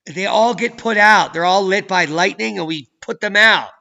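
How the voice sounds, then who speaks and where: A man hosting a channel, reading news and weather maps with sarcastic, profane commentary that swings from low mutters to loud outbursts. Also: normal speed, vocal fry, lo-fi sound. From United States. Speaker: channel host.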